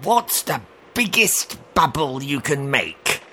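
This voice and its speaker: Man doing a sharp-voiced, easygoing mixer truck. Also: fairly high pitch, very animated, slow, slight background noise, pitched above the performer's own voice, exaggerated voice. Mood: wistful, keen. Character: easygoing young male cement mixer truck, restless brother. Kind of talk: children's story character voice.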